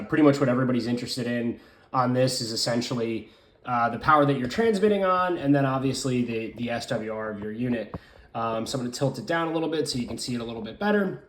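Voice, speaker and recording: Man in a roomy, echoing space, reviewing casually. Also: medium pitch. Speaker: radio hobbyist reviewer. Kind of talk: casual hands-on product review. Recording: roomy, echoing room sound.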